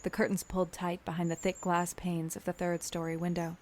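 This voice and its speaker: A woman's voice: fairly low pitch, completely flat delivery, fairly quick, darkish tone, natural voice. A woman playing a wounded, sardonic narrator who recalls her schoolgirl self in a confessional first-person audio drama. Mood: reflective, subdued.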